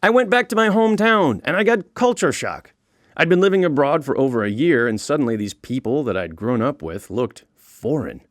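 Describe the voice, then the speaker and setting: Animated American man narrating a comic audiobook. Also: medium pitch, normal speed, no echo, clean studio sound. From United States. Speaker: author narrating his own audiobook, comedian. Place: recording studio.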